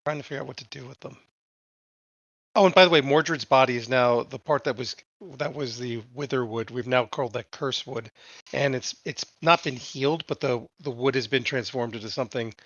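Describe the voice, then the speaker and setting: A man's voice: mid-range voice, normal speed, a bit bright, no room sound, dull audio. A man playing a tabletop game, talking casually and stammering over his words. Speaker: player.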